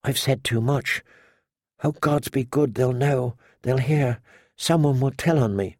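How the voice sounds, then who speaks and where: A man voicing a timid, frightened young noble girl in an audiobook, halting and hushed. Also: fairly high pitch, dry recording, studio quality. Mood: panicked, hushed.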